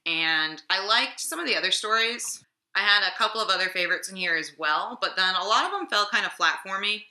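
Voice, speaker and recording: A woman's voice: medium pitch, expressive, fairly quick, sharp tone. A young adult woman vlogger chatting casually about her week's reads, sounding a little sick and rambling with lots of fillers. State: slightly sick voice. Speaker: book vlogger. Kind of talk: casual weekly book talk. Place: home room. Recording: echoey room.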